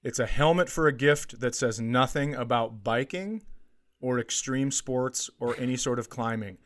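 A man's voice: medium pitch, normal speed. A man co-hosting a comedy podcast, riffing casually with sarcastic, exasperated humour. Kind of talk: casual comic chat.

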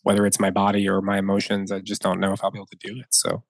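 A man's voice: low-pitched, completely flat delivery, rapid-fire, gravelly, no room sound, clean studio sound. A man in his thirties, a wedding photographer, chatting as a podcast guest, rambling with many fillers and restarts and laughing easily. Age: 30s. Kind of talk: casual podcast conversation.

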